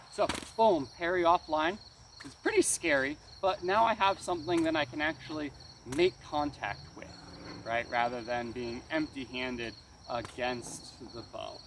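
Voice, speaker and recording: A man's voice: on the high side, vocal fry. A man giving a casual, hands-on martial arts lesson as an instructor, often checking understanding and punctuating moves with exclaimed sound words. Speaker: karate weapons instructor. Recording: distant mic, noisy outdoor ambience with insects.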